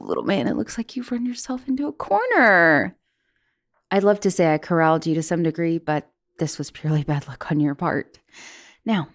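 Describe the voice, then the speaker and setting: A woman's voice: fairly low pitch, lightly acted. A woman voicing a vengeful, teasing young giantess in an ASMR roleplay monologue, mocking and menacing in a playful, theatrical way. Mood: smug. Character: gloating, teasing vengeful young giantess taunting shrunken captive.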